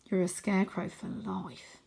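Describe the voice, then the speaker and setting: A woman voicing an excitable, boastful, matey boy who is half wizard and half ninja in a children's storybook, with dramatic delivery. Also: mid-range voice, a little slow, vocal fry, husky, exaggerated voice. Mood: matey, confident. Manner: dramatic, switching between shouts and whispers. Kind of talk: children's storybook character reading.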